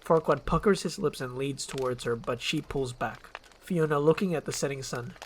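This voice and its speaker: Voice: medium pitch, normal speed, a little hiss or hum. A narrator reading out screenplay action in a scripted storytelling style.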